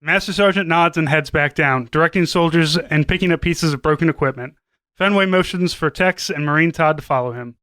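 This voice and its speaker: A man's voice: on the high side, fairly quick, pushed and bright, dry recording. A man narrating a scripted comedy story as a podcast host, now and then stumbling as he reads.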